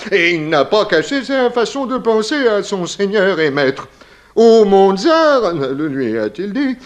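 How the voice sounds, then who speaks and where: Fussy, high-voiced, doddering gentleman. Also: lo-fi sound. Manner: stammering, flustered, mannered. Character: fussy, doddering, pompous gentleman lodger, senior resident. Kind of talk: 1960s French radio detective comedy acting.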